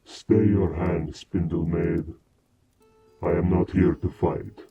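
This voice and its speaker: A man acts a grave dark knight sworn to serve in a fantasy audio drama. His voice is very low and level, formal and deliberate, over clear music. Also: steady tone, dull audio. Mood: solemn, dutiful. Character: stern, dutiful dark knight henchman, calm and formal.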